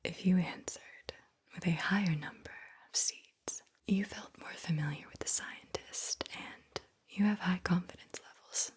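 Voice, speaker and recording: A woman whispering slowly and soothingly as an ASMR host, guiding the listener deliberately and repeating words. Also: on the low side. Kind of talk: whispered ASMR guided visualization.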